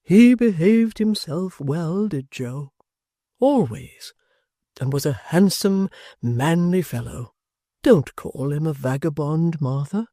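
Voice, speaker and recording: A reader voicing a gentle, kindly middle-aged locksmith in a storybook reading, speaking earnestly. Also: male voice, fairly high pitch, animated, slow pace, no echo, studio quality.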